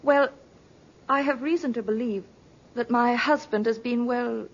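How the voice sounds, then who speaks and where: High, husky, guarded wife, radio drama. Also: female voice. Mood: guarded, hesitant. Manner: guarded, halting, formal. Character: reserved, hesitant wife who suspects her husband. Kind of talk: 1950s radio drama acting. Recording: muffled vintage radio recording.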